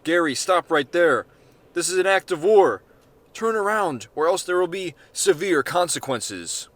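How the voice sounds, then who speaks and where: A man's voice: high-pitched, lively intonation, unhurried, fairly bright tone, no echo, pitched above the performer's own voice. A man voicing an alarmed, stern Secret Service agent in a story reading, urgent and commanding. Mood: stern warning. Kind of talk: amateur short-story character reading.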